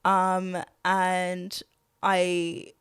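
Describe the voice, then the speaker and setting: A woman's voice: fairly low pitch, completely flat delivery, drawn-out words, clean tone, no echo, studio quality. A young woman guest on a podcast, speaking candidly and thoughtfully, with frequent fillers and restarts and easy laughter. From grew up partly in Zimbabwe and London. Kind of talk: candid reflective conversation.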